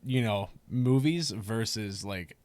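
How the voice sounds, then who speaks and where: A man hosting a casual podcast, relaxed, reading viewer comments aloud. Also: on the low side, takes it slowly, dry recording. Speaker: podcast host. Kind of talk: casual chat.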